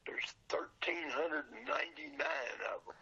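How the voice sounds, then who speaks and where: Raspy, creaky older man on lo-fi line. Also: very high-pitched, sing-song, unhurried, bright, forceful tone. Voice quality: raspy, gravelly. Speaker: podcast guest, old-time radio collector. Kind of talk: casual conversational interview. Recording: dull, lo-fi phone line.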